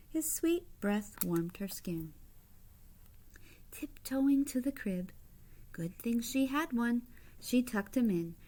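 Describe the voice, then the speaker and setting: A woman's voice: a little high, a little mellow. A woman reading a picture book aloud like a teacher, explaining as she goes and putting simple questions to young listeners.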